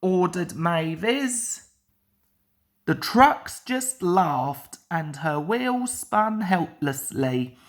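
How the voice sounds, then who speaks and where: A woman narrates a children's story from a book. Her voice sits a little low, and she takes the text at an extremely slow pace. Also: sing-song. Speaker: storybook reader.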